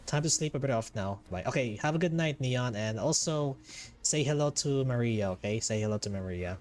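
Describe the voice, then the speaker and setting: A man's voice: mid-range voice, lively intonation, brisk, darkish tone. A man giving casual, slangy, sometimes profane commentary as he streams a video game. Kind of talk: casual gameplay reaction commentary. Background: game music.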